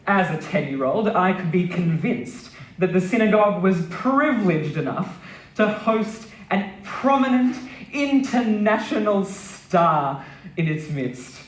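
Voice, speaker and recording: Male reader, higher voice, measured dramatic delivery of memoir narration, recorded live in a roomy space. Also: slight background noise. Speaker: reader at a literary stage event. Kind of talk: dramatic reading of a scripted memoir. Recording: roomy, echoing live venue.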